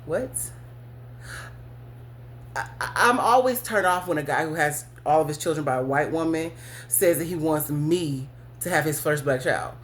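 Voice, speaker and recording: A woman's voice: deep voice, moderate pace, pauses often, slight background noise. A woman hosting a podcast, telling a personal story to her listeners in a candid, blunt way, cursing and laughing often. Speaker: podcast host.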